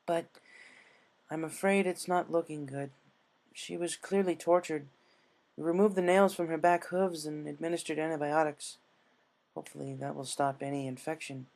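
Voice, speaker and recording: A man voicing a solemn, apologetic female nurse pony with a gentle, hesitant, grave delivery. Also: on the high side, steady tone, moderate pace, gravelly, pitched above the performer's own voice.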